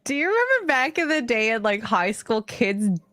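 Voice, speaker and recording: A woman's voice: fairly high pitch, very animated, normal speed, a bit bright, no room sound. A woman co-hosting a stream in casual, chatty banter.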